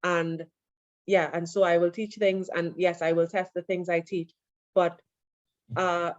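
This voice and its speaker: A woman lecturer giving an online class, relaxed and full of fillers as she answers questions. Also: on the low side, a little slow, no echo. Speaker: university lecturer. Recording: dull lo-fi online audio.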